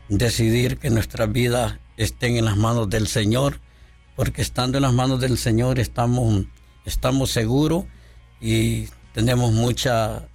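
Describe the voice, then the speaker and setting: A man from Honduras, a pastor speaking as a radio guest in a studio, devout and halting with many fillers. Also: mid-range voice, darkish tone.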